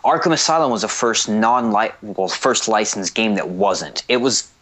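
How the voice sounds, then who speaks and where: A man's voice: fairly low pitch, normal speed, fairly bright tone. A man chatting casually as a radio show commentator, slangy and given to jokey exaggeration. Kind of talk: casual chat.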